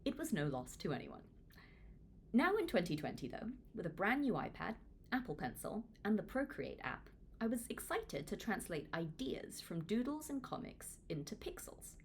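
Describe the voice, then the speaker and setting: A woman from Hong Kong reading a book aloud in an expressive, informal, self-deprecating way, like a read-along. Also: mid-range voice, moderate pace, a little hiss or hum. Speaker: author reading their own book aloud. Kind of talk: audiobook read-along with casual asides.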